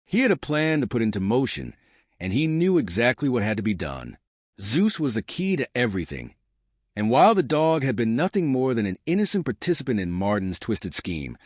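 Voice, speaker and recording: Animated male audiobook narrator, dry sound. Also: medium pitch, dry recording. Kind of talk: scripted suspense narration.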